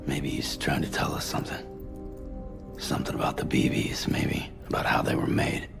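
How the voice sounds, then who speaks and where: An actor playing a gruff, weary and stubborn loner with a gravelly voice and terse, blunt delivery in a game cutscene. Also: male voice, a bass voice, steady tone, normal speed, exaggerated voice. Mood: pensive, subdued. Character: gruff, weary, stubborn lone courier man. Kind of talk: naturalistic game cutscene acting. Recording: music bed under the voice.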